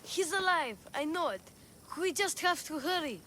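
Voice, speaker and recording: A woman's voice: very high voice, moderate pace, slight background noise, heavily acted. A woman voicing an eager young boy who keeps interrupting in a game cutscene. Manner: excitable, interrupting. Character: eager young boy searching for his father. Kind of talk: video game cutscene acting.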